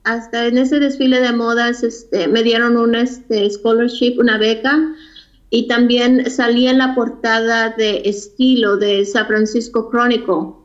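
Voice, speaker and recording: A woman from Mexico with a Mexican Spanish accent, telling her personal story as an interview guest in a halting, reflective way, often searching for words. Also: on the high side, little pitch movement. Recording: dull lo-fi recording.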